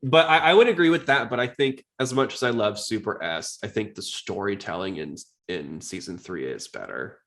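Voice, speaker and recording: Low-voiced man chatting on a podcast. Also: animated, moderate pace, hardly any pauses, fairly bright tone. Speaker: podcast host. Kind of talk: casual fan podcast chat.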